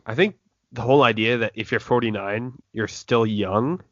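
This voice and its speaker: A man's voice: medium pitch, normal speed, dry recording. A young man hosting a casual podcast chat, reading out news stories and laughing easily. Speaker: podcast host. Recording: dull lo-fi dry recording.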